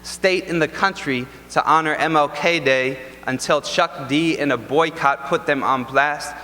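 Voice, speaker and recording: A young man performing spoken word poetry at an event, with a rhythmic, deliberate and impassioned delivery in a large ballroom. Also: fairly high pitch, pushed and bright. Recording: long reverb from a large ballroom.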